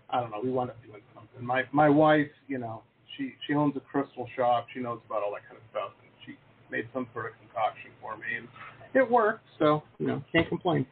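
A man's voice: medium pitch, a little hiss or hum. A man hosting a podcast interview, chatting casually and teasing his guest with jokes. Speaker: podcast host. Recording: tinny phone-like call audio.

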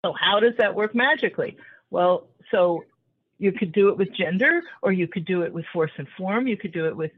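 A woman's voice: fairly low pitch, brisk, slightly rough. Woman in her sixties over a phone-like line. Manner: thoughtful, explanatory, with frequent restarts. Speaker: author guest. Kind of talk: conversational interview.

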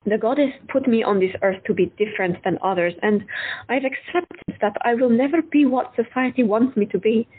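A woman playing a frank, unconventional woman doctor who talks bluntly and candidly with a slightly husky voice. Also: a little high, moderate pace. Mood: earnest. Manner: blunt, candid, lightly teasing. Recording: tinny phone-like audio.

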